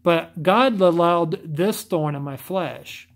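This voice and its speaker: A man's voice: fairly high pitch, slow pace. A man with a scratchy voice talking casually to camera, often stumbling and restarting his sentences. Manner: stumbles over words, rambling restarts.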